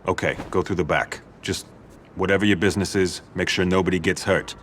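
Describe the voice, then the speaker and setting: A man playing a blunt, cautious, bribable airport guard in a video game cutscene, with low-key delivery. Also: steady tone, brisk, a bit bright, slight background noise. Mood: conspiratorial. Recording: music under the voice.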